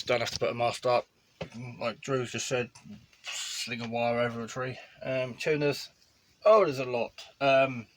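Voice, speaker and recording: A man's voice: medium pitch, a bit bright. British man, casual hobbyist vlogger, informal chat, slight background noise. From United Kingdom. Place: inside a parked motorhome.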